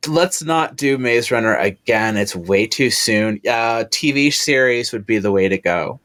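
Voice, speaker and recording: A man on a casual online panel, loud and profane, rambling with lots of fillers. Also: medium pitch, expressive, measured pace. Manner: loud, swears freely, rambling with many fillers.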